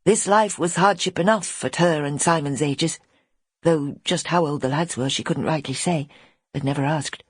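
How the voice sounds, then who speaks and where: A woman reading an audiobook as narrator, with measured, expressive storytelling. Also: low-pitched, breathy edge, no echo. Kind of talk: scripted dramatic narration.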